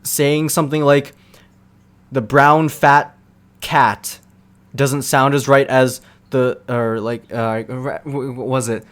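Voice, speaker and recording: A young man answering viewers' questions in a relaxed, rambling live-stream chat, full of fillers and quick laughs. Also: medium pitch, clean tone.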